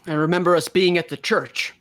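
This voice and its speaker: A man's voice: sits high, steady tone, a little fast, a bit bright, no echo. A man reading a character's lines aloud in a joking group story reading. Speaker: character reader in a group fan fiction reading. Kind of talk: acted dialogue reading with joking asides.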